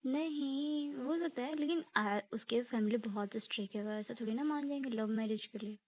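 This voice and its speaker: A woman's voice: on the high side, normal speed, natural voice. A young woman playing a defensive college girlfriend in a naturalistic phone-call roleplay, questioning, protesting and explaining herself. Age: young adult. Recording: tinny, narrow phone-call sound.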